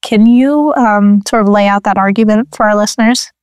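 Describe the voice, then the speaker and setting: A woman's voice: mid-range voice, normal speed, darkish tone, clear, resonant tone, no echo, studio quality. Podcast co-host woman, direct. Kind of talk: podcast hosting.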